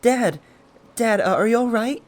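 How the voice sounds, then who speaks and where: A man's voice: expressive, a little slow. A man voicing a frightened, tearful young child pleading in an audiobook story. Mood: panicked, tearful. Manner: crying, high-pitched pleading. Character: frightened young child crying out for his father.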